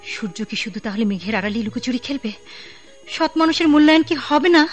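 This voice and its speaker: A woman's voice: high-pitched, lively intonation, brisk, soft background music. A woman playing an earnest young office worker who reflects with idealism in a radio drama. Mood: impassioned. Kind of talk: broadcast radio drama acting.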